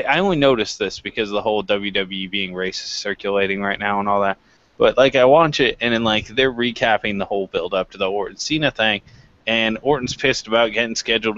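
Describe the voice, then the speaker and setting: A man's voice: on the low side, fairly quick, fairly bright tone, lo-fi sound. A man on a review panel in a casual, opinionated rant, incredulous and swearing freely.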